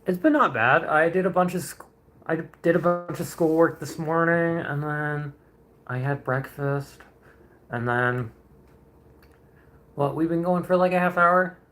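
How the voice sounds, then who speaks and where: A young man in his twenties talks casually to his livestream audience, with a bright, forward tone. He rambles a bit, greets his viewers, and chuckles often as he goes. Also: fairly high pitch, unhurried, long pauses. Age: 20s. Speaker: streamer. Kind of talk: casual chat on a painting livestream.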